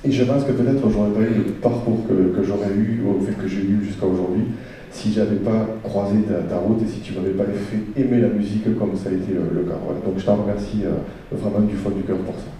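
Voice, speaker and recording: Dark-voiced man in an echoey auditorium. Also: fairly low pitch, steady tone, fairly quick. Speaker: musician giving a tribute speech. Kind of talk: informal anecdotal tribute speech. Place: auditorium, live event. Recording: echoey auditorium, distant mic, faint noise.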